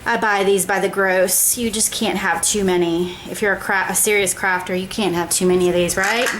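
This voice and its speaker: A woman hosts her own home craft tutorial in an easygoing, conversational way. Her voice sits at a middle pitch, and she explains each step as she works, often pausing for a quick "okay?". A faint room noise hums behind her. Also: fairly even intonation. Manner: chatty, step-by-step, frequent "okay?" check-ins. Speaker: craft show host.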